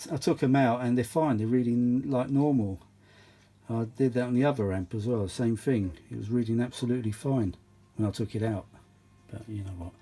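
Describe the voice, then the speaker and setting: A man's voice: mid-range voice, mellow. A British man giving a casual, rambling spoken demonstration as a repair vlogger, chatty and given to exclamations. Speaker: vintage audio repair vlogger. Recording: noisy recording with clear background noise.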